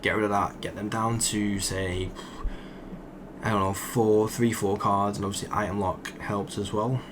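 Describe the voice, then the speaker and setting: A young man, croaky from just waking up, rambling casually as he commentates a card game he is playing. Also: lowish voice, level tone, unhurried, noisy background. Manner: rambling, thinks aloud with fillers. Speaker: gaming channel host.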